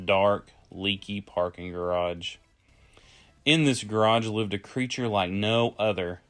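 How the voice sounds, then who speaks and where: A man reading a children's story aloud as a storyteller, with deliberate phrasing. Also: mid-range voice, fairly bright tone. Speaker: storyteller, early childhood educator.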